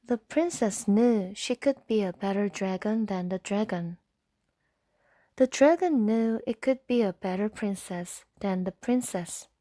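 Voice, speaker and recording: A woman gently and expressively reading a storybook aloud in a soft bedtime style. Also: mid-range voice, a little slow, no room sound.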